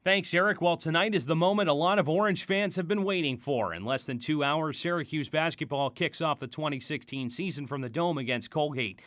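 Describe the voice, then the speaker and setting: A man's voice: fairly high pitch, a little fast, hardly any pauses, fairly bright tone. A man anchoring a sports update, reading a scripted news report.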